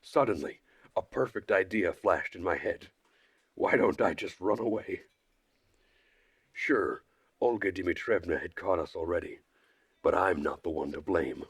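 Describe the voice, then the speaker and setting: A man with a slightly rough voice narrating a first-person visual novel story in a measured, dry manner. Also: low-pitched, no room sound. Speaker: streamer reading a visual novel aloud.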